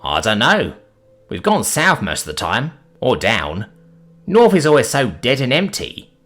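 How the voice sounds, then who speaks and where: A man's voice: mid-range voice, wildly swooping pitch, a little slow, a bit bright, soft background music, full character voice. A man voicing a playful, cheeky young male dragon who teases and jokes carefree. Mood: innocent. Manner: teasing, sarcastic, carefree.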